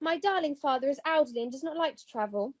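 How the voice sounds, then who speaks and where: A woman's voice: sits high, animated, fast talker. A woman playing a self-centred, melodramatic young upper-class English heiress in a comic radio play. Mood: mock sorrowful. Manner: posh, melodramatic. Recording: dull lo-fi recording.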